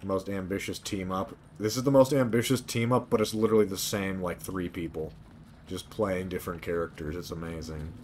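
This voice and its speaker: A man's voice: deep voice, fairly even intonation, a little fast, a little mellow, a little hiss or hum. A man giving casual, profane video game commentary, muttering asides to himself. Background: video game sound.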